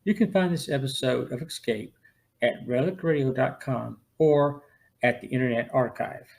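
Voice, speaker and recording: Measured male podcast host. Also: mid-range voice, creaky, a little mellow. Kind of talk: scripted podcast narration and commentary.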